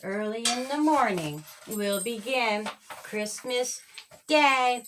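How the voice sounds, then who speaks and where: Woman acting fatherly mouse dad, children's storybook, kindly, instructive, slow measured rhymes, higher pitch. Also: expressive, a bit bright, slight background noise. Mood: gently instructing.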